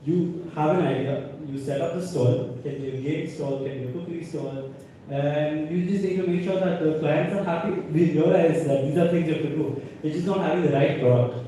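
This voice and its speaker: Young Indian male panelist, higher-pitched, very soft-edged tone, conversational storyteller in a reverberant hall. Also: fairly quick. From North India. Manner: conversational, often ends points with "right?". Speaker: alumni panelist, businessman. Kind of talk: informal panel talk with anecdotes. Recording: echoey hall, distant mic, faint noise.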